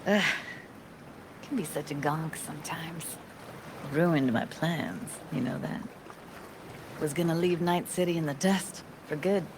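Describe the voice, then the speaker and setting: Tender guarded young woman, low pitch, hesitant slangy game dialogue, noisy ambience behind. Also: measured pace, pauses often. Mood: tender, wry. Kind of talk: naturalistic video game acting.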